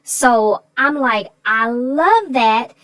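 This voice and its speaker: A woman's voice: fairly high pitch, animated, very slow, fairly bright tone, dry recording. A woman co-host chatting casually about a children's story, folksy and full of sayings.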